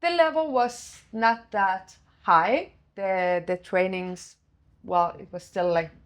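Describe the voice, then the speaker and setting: A woman's voice: medium pitch, slow pace. A woman from Sweden, speaking English as a second language, telling her story casually in an interview with frequent fillers and restarts. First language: Swedish. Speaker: guest, former goalkeeper and goalkeeper coach.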